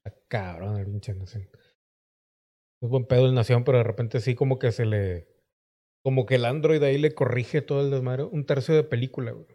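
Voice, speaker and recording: Mexican male streamer host, crude rambling jokes, slangy casual banter, average pitch. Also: brisk, muffled recording.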